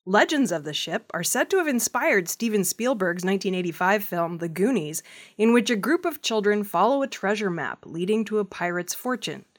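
A woman reads the news from a script as a presenter. Her voice sits at a middle pitch for a woman, and she delivers it as narrated reporting. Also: lively intonation, moderate pace. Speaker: news reader.

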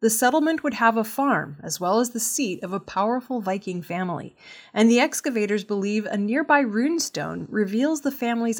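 A woman reading scripted news narration as an audio news presenter, in a measured, clearly enunciated way. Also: mid-range voice. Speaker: news reader.

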